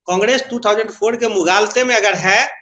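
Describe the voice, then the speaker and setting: A man's voice: high-pitched, fairly quick, bright, forceful tone. A man speaking as a political interviewee, explaining and arguing his points and slipping into English phrases. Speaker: political interviewee, party spokesman. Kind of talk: political interview, opinion and anecdote.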